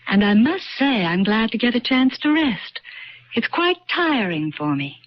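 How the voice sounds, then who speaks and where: An actress playing an ancient, sly witch who poses as a woman, in vintage radio drama style, with slow and insinuating delivery. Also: a little high, big pitch swings. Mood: weary, muttering. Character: ancient female witch posing as old woman and young temptress. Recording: muffled noisy vintage radio recording.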